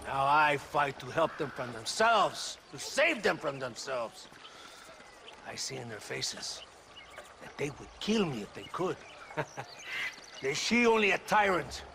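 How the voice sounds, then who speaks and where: A man's voice: fairly high pitch, sing-song, a little slow, vocal fry, long pauses, background noise, heavily acted. A man playing a menacing, pompous Mexican military tyrant in a game cutscene, theatrical and mocking, with a Mexican accent. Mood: grandiose. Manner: theatrical, sneering, mixes Spanish into English. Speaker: video game character actor. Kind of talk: dramatic game cutscene acting.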